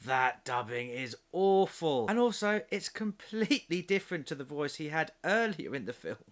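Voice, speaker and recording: Sarcastic male film reviewer, animated delivery. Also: fairly high pitch, moderate pace. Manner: sarcastic, exasperated. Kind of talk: scripted comedic film review narration with ad-libs.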